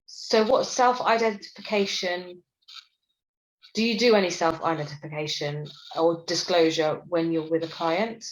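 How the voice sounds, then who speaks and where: Woman from London, London accent, music therapist, low-pitched and thoughtful in conversation. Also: fairly even intonation, unhurried, low-quality recording. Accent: London English. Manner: reflective, thinks aloud, trails off quietly. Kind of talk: conversational discussion.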